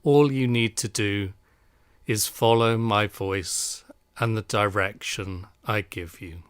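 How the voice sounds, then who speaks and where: A man leads a guided meditation in a low, smooth voice. He speaks calmly and soothingly, taking his time between phrases. The sound is dry and close, with very slow delivery. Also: no echo. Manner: calm, soothing, unhurried with long pauses.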